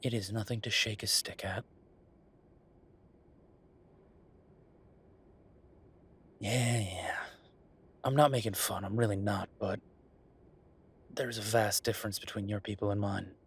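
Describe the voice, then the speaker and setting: A man plays a werewolf office bureaucrat and affectionate boyfriend in an intimate roleplay audio drama. His voice sits on the low side with a strong rasp. He talks casually and wryly, teasing as he goes. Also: fairly even intonation, normal speed, heavily acted. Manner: casual, teasing, conversational with soft murmurs.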